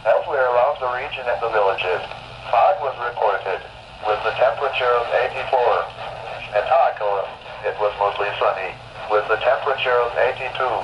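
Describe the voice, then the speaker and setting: A man's voice: medium pitch, fairly quick. A synthetic male text-to-speech voice reading a scripted weather report over the radio in a formal, segmented manner. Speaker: automated weather radio announcer. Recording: dull noisy weather radio broadcast.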